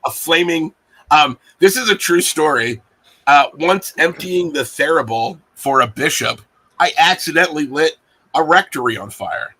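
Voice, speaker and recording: A man acting as game master, improvising narration for a tabletop game in a halting way with many pauses. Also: fairly high pitch, expressive, pushed and bright, husky, rough recording.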